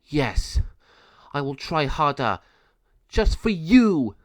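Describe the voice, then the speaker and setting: A man's voice: mid-range voice, animated, measured pace, no echo, lightly acted. A man voicing a plucky, determined male talking bird in a storybook, with an emphatic delivery. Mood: angry.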